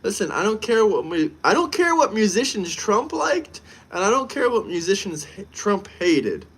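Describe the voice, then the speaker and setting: A man's voice: high voice, big pitch swings, normal speed, a bit bright, breathy edge. A man from the United States talking casually on a live stream, brash and emphatic, often repeating himself.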